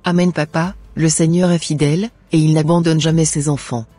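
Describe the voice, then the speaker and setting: Soft, husky devout daughter played by a woman. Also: deep voice, fairly even intonation, normal speed, soft background music. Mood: earnest, devout. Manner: earnest, preachy. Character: devout, wise, gentle schoolgirl daughter.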